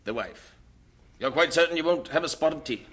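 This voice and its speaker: A proper, stubborn British missionary gentleman for an audio drama. His voice is forceful and raspy, pitched on the high side, and his speech is formal and fussy. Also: lively intonation, fairly quick. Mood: fussy, worried.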